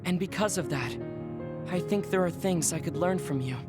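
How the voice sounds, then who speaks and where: An actor playing a serious, formal young man in a game cutscene, speaking in a measured, polite way. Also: high-pitched, lightly acted. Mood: composed. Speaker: game character. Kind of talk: dubbed game cutscene dialogue. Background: game music.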